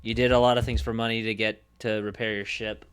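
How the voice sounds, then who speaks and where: A man streaming casually, thinking aloud in a musing, hesitant way with pauses mid-thought. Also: lowish voice, little pitch movement, a bit bright, smooth.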